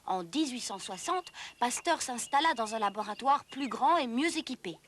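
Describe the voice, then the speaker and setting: A woman's voice: fairly high pitch, animated, moderate pace, slight background noise. A woman giving scripted, didactic storytelling narration for an educational history cartoon.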